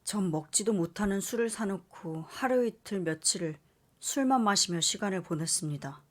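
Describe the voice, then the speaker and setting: A woman narrating a scripted first-person story with an expressive, dramatic delivery. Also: medium pitch, normal speed.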